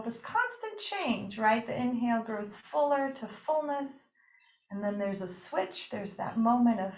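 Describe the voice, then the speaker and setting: A woman teaching breathing and yoga nidra, guiding a relaxation practice with gentle, invitational phrasing and pauses. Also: on the high side. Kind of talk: guided meditation and relaxation instruction. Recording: roomy, echoing room sound.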